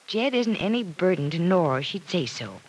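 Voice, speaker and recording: Caring, insistent young daughter, old radio soap. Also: female voice, fairly low pitch, big pitch swings, normal speed, darkish tone. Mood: firm, insistent. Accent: American. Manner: reassuring, insistent. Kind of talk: 1950s radio soap acting. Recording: noisy old radio transcription.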